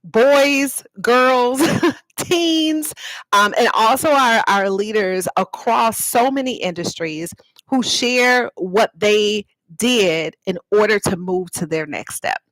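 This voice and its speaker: A woman's voice: medium pitch, slow, a bit bright, no room sound, low-quality recording. A woman talking informally to livestream viewers as an author and host, explaining things and inviting them to respond. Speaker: author and host of a live read-aloud.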